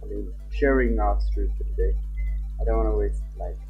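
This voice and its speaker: A man's voice: on the low side, moderate pace. Dull-sounding male streamer, native Nepali speaker. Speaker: live streamer. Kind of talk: casual livestream chat. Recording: dull lo-fi stream audio, music behind. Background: background music.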